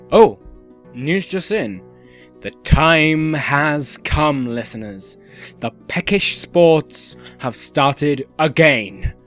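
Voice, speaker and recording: A man playing a cheerfully sinister radio host of a dystopian town, with theatrical, measured delivery and dramatic pauses in a scripted radio play. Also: mid-range voice. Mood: ominous, theatrical. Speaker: radio presenter. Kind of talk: scripted radio play in the manner of an eerie community radio show.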